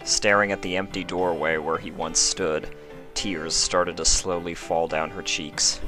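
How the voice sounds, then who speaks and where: A man's voice: sits low, flat delivery, measured pace, music in the background. A man hosting and narrating a fan fiction reading, moving between casual talk and storybook narration. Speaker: fan fiction reader, channel host. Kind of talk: casual spoken introduction, then storybook narration.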